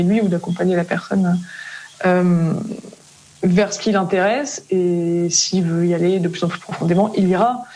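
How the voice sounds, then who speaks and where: A woman's voice: on the low side, warm tone. A French woman being interviewed as a parent, explaining casually in colloquial speech that often trails off into tag words.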